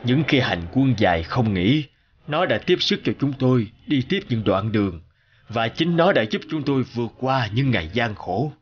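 A man narrating the words of a reflective older businessman, a war veteran recalling hardship in a measured, reminiscing way. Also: medium pitch, sing-song, highs cut off, a little hiss or hum, natural voice. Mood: earnest reminiscing.

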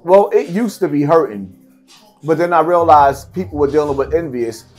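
A man's voice: on the high side, lively intonation, normal speed, darkish tone, soft background music. A middle-aged man giving blunt, emphatic interview answers, repeating phrases for stress. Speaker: podcast guest. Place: seated at table before branded backdrop, indoors.

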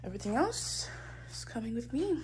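A woman's voice: fairly high pitch, sing-song, fairly quick, a little mellow. A woman of about twenty with an American accent, chatting casually and slangily in a vlog, talking to herself and her viewers. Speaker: college student vlogger. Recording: very noisy handheld vlog audio.

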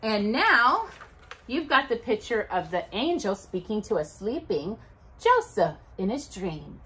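A middle-aged woman reads aloud for a children's storytime. Her delivery is slow and sing-song, with very lively rises and falls. She speaks directly and expressively to young listeners. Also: on the high side. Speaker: storytime host. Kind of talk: children's storytime, reading verse and scripture aloud. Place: indoors at home by a brick fireplace.

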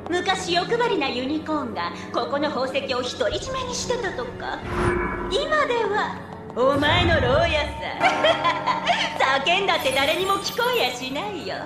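An actress voicing a wicked, gloating cartoon pony princess who sneers and mocks. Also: female voice, high-pitched, lively intonation, measured pace. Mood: gloating, taunting. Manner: mocking, sneering. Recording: distant, echoey, under loud music.

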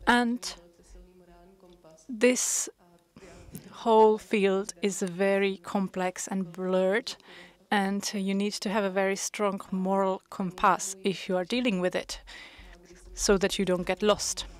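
Brief: woman interpreter, unhurried delivery, mid-range voice, hesitant pauses between phrases. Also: medium pitch, level tone. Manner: measured, with frequent hesitations. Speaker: conference interpreter. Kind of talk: simultaneous interpretation of a panel discussion.

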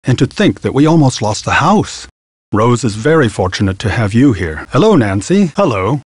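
An actor playing a prim, bookish antiques dealer in a video game, formal, precise and a little aloof. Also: male voice, mid-range voice, a little mellow, dry recording, studio quality. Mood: polite.

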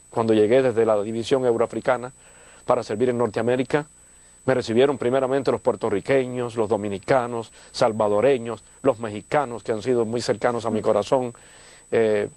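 Older Cuban church leader, dry studio. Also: male voice, medium pitch, steady tone, moderate pace, a little mellow, no echo. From Cuba. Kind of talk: studio interview. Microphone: lapel.

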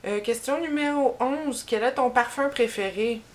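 A young woman with a Québécois French accent, chatting casually to camera as she answers tag questions, rambling and pausing on fillers. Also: a little high, a little hiss or hum. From Quebec. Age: young adult. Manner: rambling and unhurried, with many filler pauses. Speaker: YouTuber. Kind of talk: casual tag video chat. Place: small room with a bookshelf behind her. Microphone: camera in front at head-and-shoulders framing.

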